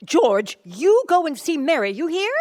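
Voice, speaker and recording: Lively, coaxing small-town mother. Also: sits high. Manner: brisk, coaxing. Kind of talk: live radio play acting.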